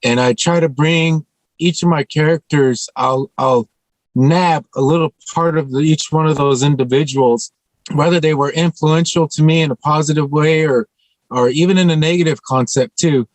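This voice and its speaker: A man's voice: fairly high pitch, moderate pace, no room sound, rough recording. Earnest man reflecting, dry close sound. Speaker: actor on a panel. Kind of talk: reflective panel talk about craft.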